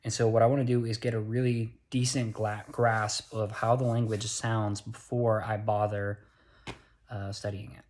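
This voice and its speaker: A man's voice: on the low side, darkish tone. A tired young man with an American accent, talking casually into a handheld phone, earnest and rambling, correcting himself as he goes. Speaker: vlogger. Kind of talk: casual vlog monologue.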